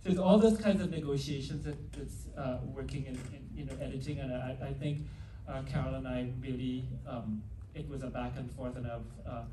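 A man's voice: medium pitch, fairly even intonation, normal speed, few pauses, noisy background. An older man with a faint Trinidadian accent, a film director answering at a panel Q&A on a theatre stage, talking hesitantly with many restarts. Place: theatre stage before an audience. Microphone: handheld mic, recorded from far in the hall. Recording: roomy hall audio from far off.

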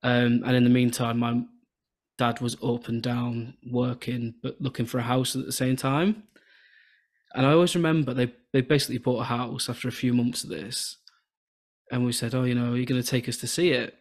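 An English man in his thirties from southern England, hosting a podcast over a video call with a soothing, relaxed cadence. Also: mid-range voice, normal speed. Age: 30s. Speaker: podcast host. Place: home room with shelves and lights. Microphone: podcast microphone on video call.

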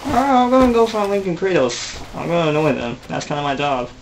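A cocky, wisecracking antihero, played by a man whose voice sits a little higher than his own. He is flippant and teasing and enjoys getting on people's nerves. The voice is for a homemade comedy dub. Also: fast, noisy background, lightly acted. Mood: puzzled. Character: wisecracking, annoying male antihero.

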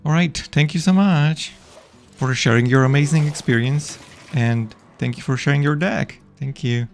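A man's voice: on the high side, normal speed, gravelly, soft background music. A man streaming a digital card game live, playfully chatting with his opponents and viewers.

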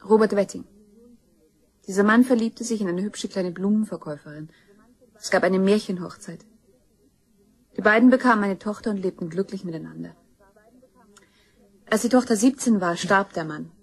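Determined heiress, husky mid-pitched voice, cool, measured storytelling, radio crime drama. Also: female voice, poor audio.

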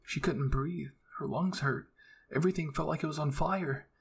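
A man narrating a scripted story in a measured, dramatic audiobook style. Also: on the high side, vocal fry, husky. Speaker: narrator reading fan fiction.

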